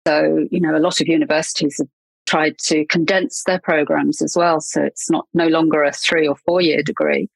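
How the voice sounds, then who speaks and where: A middle-aged woman with an English accent talks casually as a podcast guest, her low voice husky and breathy. She laughs often and wanders through her sentences with fillers and restarts. The sound is close and dry. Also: little pitch movement, moderate pace, no echo, poor audio. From England. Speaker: podcast guest, marketing consultant. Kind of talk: casual interview chat.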